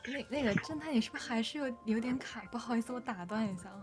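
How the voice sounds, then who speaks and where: A woman's voice: a little high, quick pace. A woman interjecting casually in a group online voice chat, with music behind her. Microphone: online voice call.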